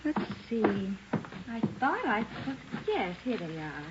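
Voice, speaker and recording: A woman's voice: fairly high pitch, huge pitch swings, a little slow. Obliging landlady on muffled old radio. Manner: helpful, polite, talkative. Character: chatty, obliging apartment landlady. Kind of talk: old-time radio drama dialogue. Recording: dull old radio, faint noise.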